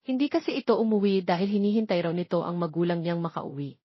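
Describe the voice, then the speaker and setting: A woman's voice: fairly low pitch, quick pace, slightly rough, dry recording. Filipino woman narrating, dry and slightly muffled. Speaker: audiobook narrator. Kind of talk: scripted romance story narration with voiced dialogue.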